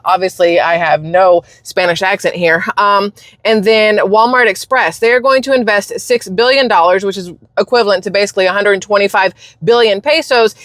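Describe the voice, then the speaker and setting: Woman, from the US, opinion rant, indignant and emphatic, mid-pitched voice, dry close recording. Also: dry recording. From United States. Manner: indignant, emphatic, fast bursts. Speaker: channel host.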